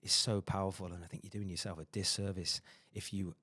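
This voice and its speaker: A man hosting a podcast interview, talking quickly and emphatically with many fillers and restarts. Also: deep voice, level tone, pushed and bright, breathy edge, no echo. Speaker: podcast host. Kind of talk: casual interview chat.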